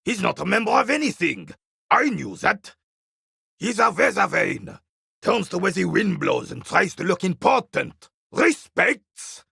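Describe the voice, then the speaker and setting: A proud, embittered old soldier from a video game. His voice is heavily creaky and husky, with curt, scornful delivery that rises and falls in animated swings. He sounds dismissive and full of contempt for weaklings. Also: high voice, measured pace, no room sound, studio quality, full character voice. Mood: scornful, indignant. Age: older. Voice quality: gravelly, raspy. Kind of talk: video game character dialogue.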